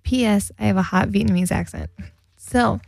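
Chatty woman radio co-host, gravelly. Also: medium pitch, normal speed, darkish tone, no room sound. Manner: chatty, laughs often, reads listener emails. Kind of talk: casual radio chat.

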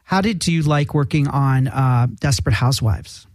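A man hosting a talk show, interviewing a guest with joking, deadpan teasing. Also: mid-range voice, normal speed, dry recording, clean studio sound. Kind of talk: comedic interview.

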